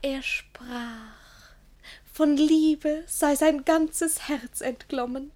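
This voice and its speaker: A woman's voice: high voice, fairly even intonation, extremely slow, a little mellow. An actress playing a naive, innocent young woman who tells her story in rhymed verse with artless eagerness, in a classic comedy radio play. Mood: dreamy delight.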